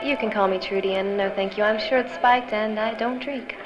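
A woman's voice: mid-range voice, fairly even intonation, normal speed, lightly acted. An American woman playing a polite, prim young aspiring actress who loves gossip, in a period radio drama. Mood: polite, shy. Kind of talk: 1940s-style radio drama acting. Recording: dull lo-fi sound, loud music behind.

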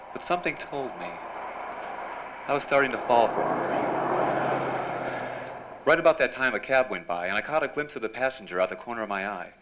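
A man's voice: fairly low pitch, lightly acted. Man acting wisecracking American noir reporter, muffled noisy recording. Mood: brooding narration. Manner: breezy, slangy, hardboiled. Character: wisecracking, persistent male newspaper reporter, noir hero. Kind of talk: old-time radio noir drama acting and narration. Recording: dull lo-fi live stage recording, clear noise.

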